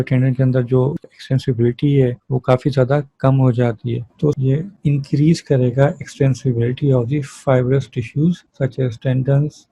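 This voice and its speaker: A man's voice: medium pitch, dark tone, no room sound, muffled recording. A man lecturing in an explanatory way, using everyday examples and answering his own questions as a teacher would. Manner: didactic, asks and answers own questions, switches between Hindi-Urdu and English terms. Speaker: physiotherapy lecturer.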